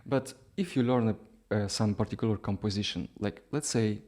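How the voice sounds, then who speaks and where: A man's voice: lowish voice, normal speed, a little mellow. A man, a non-native English speaker, giving an informal music lesson in a hesitant, explanatory way with little check-in questions. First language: not English. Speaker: duduk teacher. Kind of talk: informal instructional talk.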